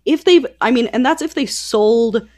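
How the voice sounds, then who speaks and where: A woman's voice: a little high, moderate pace, a little mellow. A woman hosting a podcast in a casual, conversational style, informal and full of asides. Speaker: podcast host. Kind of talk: casual conversational book chat.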